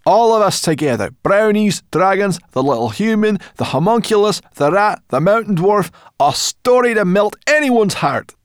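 A man voicing a boastful, cackling female brownie who talks loudly and shrilly. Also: sits high, lively intonation, normal speed, few pauses, no echo, studio quality, pitched above the performer's own voice. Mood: triumphant.

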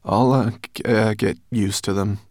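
A man's voice: fairly low pitch, steady tone, a little slow, darkish tone, no room sound, studio quality, pitched above the performer's own voice, lightly acted. A man voicing an earnest, anxious young boy with a hesitant manner, in a children's storybook reading. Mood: sheepish. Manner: hesitant, stammering when unsure. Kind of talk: children's audiobook character voice.

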